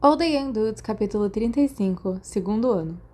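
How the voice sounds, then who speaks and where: A woman's voice: mid-range voice, animated, moderate pace, a little mellow. A woman with a Brazilian accent reading a scripted story as an audiobook narrator.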